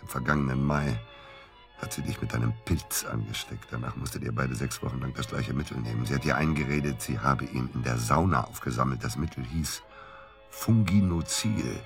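A man's voice: a little fast, soft background music. An actor playing a cornered, sardonic murder suspect in a radio drama, needling his friend in with dry irony. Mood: taunting, controlled. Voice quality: very deep, gravelly. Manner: dry, ironic, taunting. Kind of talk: German radio drama acting.

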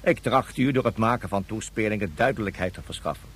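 An actor playing a formal, officious minister of a tribe in a Dutch science fiction radio drama, stately and courteous in phrasing. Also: male voice, on the low side, fast talker, breathy edge. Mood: solemn regret.

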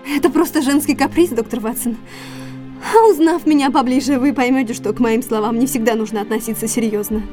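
An actress playing an anxious, secretive young gentlewoman in an audio drama, guarded and earnest. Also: on the high side, fairly quick, over music, close to an everyday voice. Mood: anxious, evasive.

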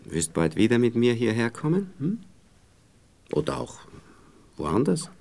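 A man's voice: mid-range voice, very animated, a little slow, creaky, darkish tone. An actor playing an evasive, condescending Viennese man in a radio play, cajoling and patronising in a hesitant way, with a Viennese accent. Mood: probing, coaxing. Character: evasive, condescending married Viennese man courting a young woman. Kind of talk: naturalistic radio play dialogue.

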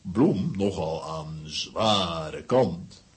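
A man's voice: deep voice, animated, extremely slow, exaggerated voice. An actor playing a big, terse strongman who talks in clipped phrases, in a children's radio play. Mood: straining. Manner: clipped telegram-style phrases without verbs.

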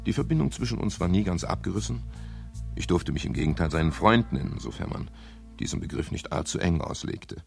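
Wry, low-voiced, gravelly private detective. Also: male voice, animated, a little fast, soft background music. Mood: dry narrating. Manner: gallant, ironic, teasing. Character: wry male private detective, former Scotland Yard officer, narrating. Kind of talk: 1970s German crime radio drama, narration and dialogue.

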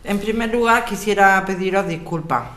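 Actriz haciendo de abogada serena y seria, acento castellano. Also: female voice, medium pitch, a bit bright, close to an everyday voice. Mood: grave, apologetic. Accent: Castilian Spanish. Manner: measured, explanatory, articulate. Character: Alicia, composed, earnest lawyer rallying her neighbours. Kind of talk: scripted audio drama.

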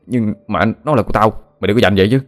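A man voicing a hot-tempered, foul-mouthed young fisherman, shouting and cursing. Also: lowish voice, very fast, soft background music, lightly acted. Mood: insistent.

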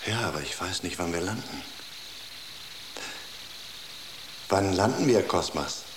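An actor playing a dreamy poet enraptured by an inner voice in a radio drama, speaking in a hushed, reverent tone often near a whisper. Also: male voice, low-pitched, lively intonation, a little fast, noisy background. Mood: quietly dreamy.

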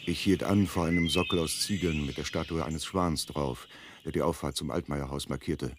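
A man's voice: a bass voice, steady tone, normal speed, noisy background. An actor playing an anxious, hesitant man who narrates his own crime story in a German radio play. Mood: measured, reflective.

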